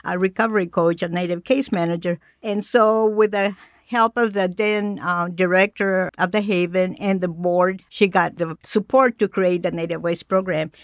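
Woman from Mexico, middle-aged, measured pace with fillers, dry close mic. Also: lowish voice, fairly even intonation, no echo. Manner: measured, with frequent pauses and fillers. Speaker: cultural educator. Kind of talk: radio interview.

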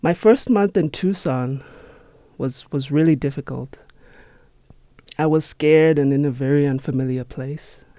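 A man in his thirties from Zimbabwe, speaking as an interview guest in a radio studio in an earnest, measured, reflective way. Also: a little high, dark tone, no room sound. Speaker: interview guest, nonprofit managing director.

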